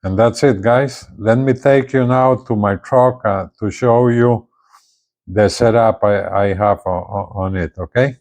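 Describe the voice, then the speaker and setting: A man giving a casual hands-on product demonstration as a reviewer, halting and often checking in with the viewer. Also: on the low side, darkish tone. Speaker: gadget reviewer presenting a CB radio.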